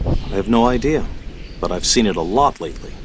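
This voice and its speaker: A man's voice: on the low side, lively intonation, fairly quick, slight background noise, natural voice. An actor playing a matter-of-fact male mutant inventor in a video game, explaining things in practical terms. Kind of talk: video game cutscene acting.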